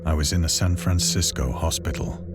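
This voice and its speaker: A man acting as a haunted sailor with a very low voice narrates a horror adventure game. He tells his own tale of madness in grave, measured, literary phrases. Loud music and storm effects run beneath him. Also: natural voice. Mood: grave, resigned. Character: haunted male sailor recounting a descent into madness. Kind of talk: dramatic first-person horror story narration.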